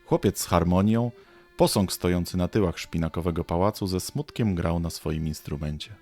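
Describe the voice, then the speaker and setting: Narrator for an audio drama: man, lowish pitch, scripted storytelling, faint music underneath. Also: moderate pace, a little mellow.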